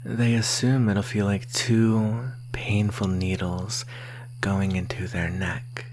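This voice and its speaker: A woman playing a flirtatious, menacing vampire in a breathy, whispered ASMR roleplay, teasing and intimate up close to the mic. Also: rumbling low, takes it slowly. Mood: soft, satisfied. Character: flirtatious, menacing thirsty vampire lurking in woods. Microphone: close microphone. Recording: faint steady hiss and hum.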